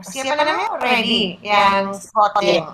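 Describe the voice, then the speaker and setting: A woman hosting an event informally, pausing mid-phrase as she goes. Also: mid-range voice, animated, a crowd in the background. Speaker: event host.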